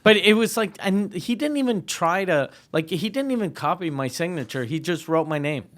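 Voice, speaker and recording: A young man with a noticeably high, husky voice, the kind that gets him mistaken for a woman who smokes. He tells stories in a loose, rambling way, full of swearing and restarts, as a regular on a comedy show. His voice sits above the usual range for men. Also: animated, normal speed, a bit bright. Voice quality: high-pitched voice. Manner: rambling, profane storytelling with restarts. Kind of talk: casual comedic chat.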